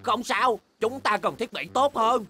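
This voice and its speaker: Eager, high-pitched boyish bear cub. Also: female voice, animated, a little slow, sharp tone, soft background music. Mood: determined. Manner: exclamatory, determined. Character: eager, stubborn young cartoon bear cubs, boyish. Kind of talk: animated children's cartoon dub.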